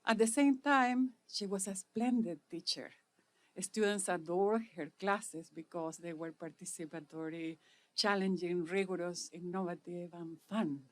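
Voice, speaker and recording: A woman's voice: fairly low pitch, a little slow. Chilean-accented woman giving a formal speech. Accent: Chilean Spanish. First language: Spanish. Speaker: speaker at an inauguration.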